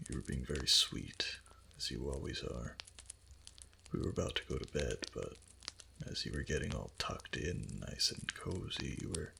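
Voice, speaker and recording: A man playing a doting, obsessive fox-spirit husband in an intimate ASMR roleplay, breathy and half-whispered, tender and hesitant, close to the microphone. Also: extremely low pitch, pushed and bright, a little hiss or hum, full character voice.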